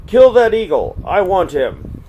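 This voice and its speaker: Man voicing Curlew, an urgent, high-calling angry bird chief. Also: huge pitch swings, measured pace, highs cut off, slight background noise, exaggerated voice. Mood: vengeful, commanding. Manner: urgent shouted orders. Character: Curlew, male sky-world bird chief, angry and commanding.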